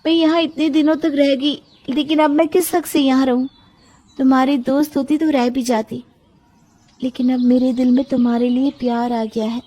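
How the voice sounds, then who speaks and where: A very high-pitched, affectionate young woman from a storybook romance, acted out by a woman. She speaks coyly and earnestly, like someone lovelorn and gently pleading her case. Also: level tone, moderate pace. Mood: sad, pleading.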